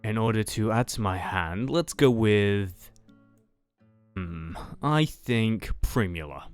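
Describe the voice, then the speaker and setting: Casting: man, lowish animated voice, theatrical duelist calling moves in a fan roleplay, music underneath. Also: slow pace, clean tone, dry recording. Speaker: player in a card duel roleplay. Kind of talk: improvised anime card-duel roleplay, calling out moves.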